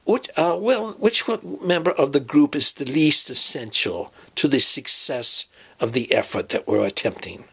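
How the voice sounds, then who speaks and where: Man acting a fussy inventor professor, husky, stammering, swooping pitch, audio drama. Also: expressive, a bit bright, full character voice. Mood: probing, hesitant. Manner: halting, hedging, stammering. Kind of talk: amateur audio drama dialogue.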